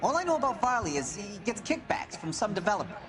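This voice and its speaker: A defiant working-class suspect from a 1940s crime game. His voice sits a bit high and swings widely, gruff and bitter. Music is clearly audible behind him. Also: sing-song, fast talker. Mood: defensive. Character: defensive, bitter 1940s working-class male gas fitter. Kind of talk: dramatic video game acting.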